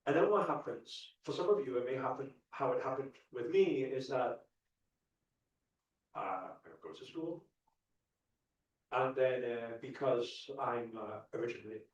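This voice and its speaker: A man's voice: mid-range voice, measured pace, pauses often, far from the mic, lo-fi sound. A young man from the UK giving a live stage talk to an audience, earnest, asking the crowd questions and often restarting his sentences. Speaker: motivational speaker. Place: large indoor event hall. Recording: echoey hall, mic heard from afar.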